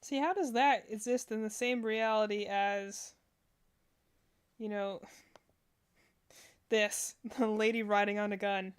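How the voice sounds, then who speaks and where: A woman's voice: mid-range voice, steady tone, slow pace, long pauses, smooth. A woman giving casual reaction commentary as a streamer, rambling and thinking aloud as she goes. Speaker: streamer reacting to game character demos.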